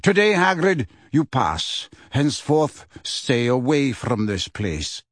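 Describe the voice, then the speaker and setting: A man voicing a proud, calm male centaur leader in an audiobook, speaking in a stern, smooth and formal way. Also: mid-range voice, dry recording, studio quality. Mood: calm, solemn. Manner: measured, smooth, formal, solemn.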